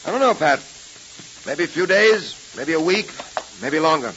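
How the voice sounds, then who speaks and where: A man's voice: on the high side, animated, normal speed, fairly bright tone. An American man playing a resolute, principled police detective in a 1930s radio serial, with earnest, formal phrasing. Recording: muffled, hissing old radio recording.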